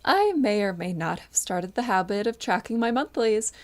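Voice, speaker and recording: A young woman playing a polite, awkward married housewife with a sly streak in an amateur audio drama, speaking in a halting, nervous way. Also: medium pitch, expressive, close to an everyday voice. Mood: sly, confiding. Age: young adult.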